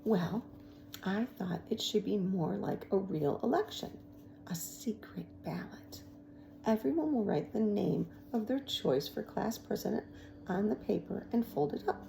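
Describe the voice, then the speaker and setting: Storybook schoolteacher, male, read by a woman: gentle, encouraging, wry, with heavy creak and a mellow tone. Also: mid-range voice, lively intonation, a little slow, a little hiss or hum. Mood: wry, easygoing. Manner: gentle, reassuring.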